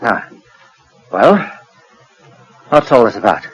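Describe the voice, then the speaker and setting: An actor with a British accent playing an irritable, defiant, hard-drinking husband in a 1940s radio drama, blustering and clipped. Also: male voice, mid-range voice, very animated, slow, dull audio. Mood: uneasy. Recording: noisy 1940s radio recording.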